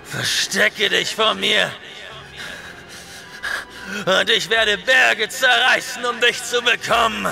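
A man playing a furious young barbarian warrior in an audio play, gravelly, slow and menacing, with forceful delivery. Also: sits high, steady tone, soft background music, full character voice. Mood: menacing fury. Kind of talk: dramatic audio play acting.

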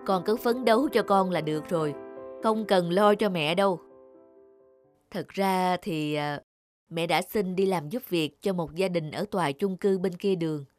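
A woman voicing a gentle, forgiving retired mother who reassures patiently in a cartoon drama. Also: fairly low pitch, measured pace, faint music behind, lightly acted. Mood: reassuring. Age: older.

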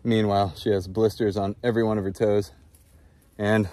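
Low-voiced man vlogging on a hike. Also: little pitch movement, brisk, warm tone. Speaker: travel vlogger. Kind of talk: casual walking vlog chat. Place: outdoors on a countryside footpath. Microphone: phone at arm's length.

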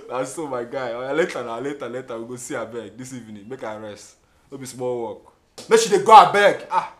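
A Nigerian man playing a brash, smooth-talking, gold-digging boyfriend in a film drama, emphatic and given to repeating himself. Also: mid-range voice, close to an everyday voice. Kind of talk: film drama acting, mixing English and Nigerian Pidgin.

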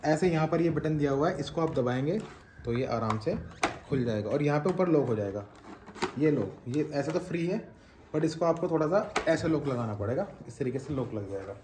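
A man's voice: a little high, animated, moderate pace, background noise. A man in his thirties from India, casually unboxing and reviewing a helmet for viewers, mixing in English phrases. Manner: chatty, addresses viewers as guys and friends, mixes in English phrases. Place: small home room with shelves of trophies, indoors.

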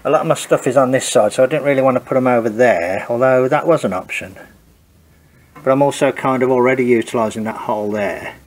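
Hobby restorer, man, mid-pitched, nasal from a cold, muttering through his work near a handheld camera in a garage. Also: quick pace. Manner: hesitant, thinking aloud while working. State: congested from a cold. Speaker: home car restorer. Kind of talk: casual workshop walkthrough. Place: home garage workshop.

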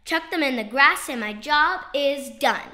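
A woman reading children's poetry aloud in an expressive, playful, sing-song and emphatic way. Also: sits high, measured pace. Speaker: children's poetry author and reader.

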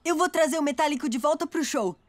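A voice actress playing an earnest young boy motorbike hero in a cartoon dub, speaking in an unhurried way. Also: high-pitched, slight background noise, natural voice.

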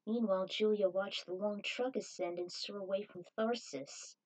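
Female amateur author-narrator, medium pitch, reading scripted fiction aloud. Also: steady tone, moderate pace, darkish tone, rough recording.

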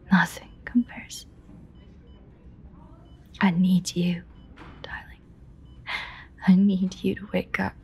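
A woman playing an obsessive, guilt-ridden yandere lover in a whispered audio roleplay, halting, breathy, intimate and pleading. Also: mid-range voice, a little mellow, heavily acted. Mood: desperate, pleading. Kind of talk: whispered dramatic audio roleplay addressed to a listener.

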